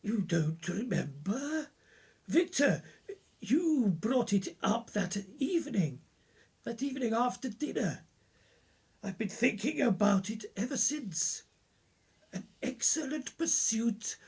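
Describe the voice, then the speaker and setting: An actor playing an older male professor in a radio play, genial and probing, with formal, measured old-world courtesy. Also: extremely high pitch, very animated, slightly rough, exaggerated voice.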